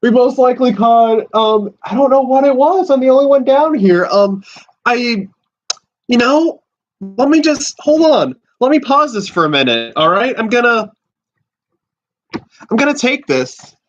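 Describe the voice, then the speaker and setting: A man in his twenties from the USA, hosting a livestream from a small room at home, exclaiming and swearing with halting restarts. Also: very high-pitched, expressive. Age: 20s. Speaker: podcast host livestreaming. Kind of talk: excited casual livestream chat. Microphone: laptop and handheld phone. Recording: dull lo-fi stream audio.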